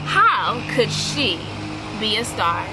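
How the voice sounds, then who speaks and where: A woman's voice: on the high side, very animated, takes it slowly, over music, poor audio. A woman reading a picture book aloud like a teacher, expressively and instructively, with questions for young listeners. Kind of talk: children's read-aloud, expressive storytelling.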